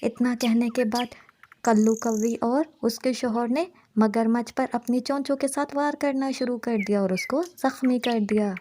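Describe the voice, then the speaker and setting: A woman's voice: fairly high pitch, fairly even intonation, normal speed, mellow, no echo. A woman narrator reading a scripted moral story for a cartoon in storybook style.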